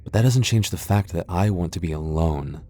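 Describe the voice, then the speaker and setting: A man's voice: low voice, moderate pace, warm tone, a little hiss or hum, close to an everyday voice. A man playing a proud, guarded tsundere vampire lord in an ASMR roleplay, with a haughty, sardonic and reluctant manner. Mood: wary, reluctant. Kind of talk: ASMR boyfriend roleplay audio drama.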